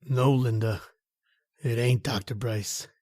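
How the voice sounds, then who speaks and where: A man's voice: medium pitch, steady tone, takes it slowly, darkish tone, lightly acted. Man playing a sardonic slangy radio-thriller tough guy. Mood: grim. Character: John Taylor, folksy sardonic tough guy, thought a killer. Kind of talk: old-time radio thriller acting.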